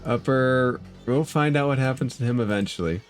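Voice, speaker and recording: A man running a tabletop game as dungeon master, narrating the scene with relaxed, unhurried delivery and dry asides. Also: mid-range voice, soft background music.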